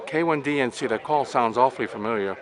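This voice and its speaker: A man's voice: medium pitch, fast, a crowd in the background. A man hosting and interviewing in a casual, plain-spoken, conversational way, recorded on location outdoors. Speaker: host and interviewer. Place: outdoors at a busy swap meet.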